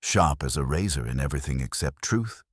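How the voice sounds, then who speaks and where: A man voicing a mild police inspector who speaks quietly and gently, with dry wit. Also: a bass voice, animated, a little fast, breathy edge, no echo, full character voice. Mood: quietly wry. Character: mild, dryly witty male police inspector.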